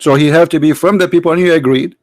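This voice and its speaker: A man's voice: on the high side, a little mellow, no room sound. Argumentative man. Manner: argumentative, repetitive, non-native grammar, slows down to stress points. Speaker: host debating a caller. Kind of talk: heated debate.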